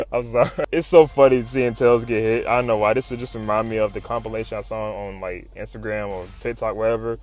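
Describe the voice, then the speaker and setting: A man's voice: on the low side, steady tone, a little fast, hardly any pauses, a little mellow. A man giving a casual, slangy commentary with swearing and fannish exclamations, retelling a story like a fan talking to friends. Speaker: YouTube comic recap narrator. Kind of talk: casual hype commentary, retelling comic fights. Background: music under the voice-over.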